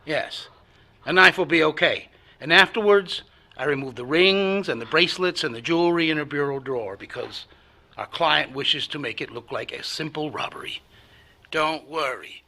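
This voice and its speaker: Man acting gravelly, hard-edged cold-blooded killer. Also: fairly high pitch, moderate pace, sharp tone. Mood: cold, calculating. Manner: matter-of-fact, menacing. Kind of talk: 1940s radio play acting.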